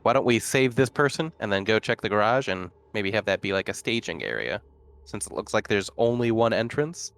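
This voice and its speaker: Dude playing a tabletop rpg, kinda low voice, casual, thinking out loud. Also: male voice, lively intonation, brisk. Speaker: tabletop game player. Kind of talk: casual game table chat.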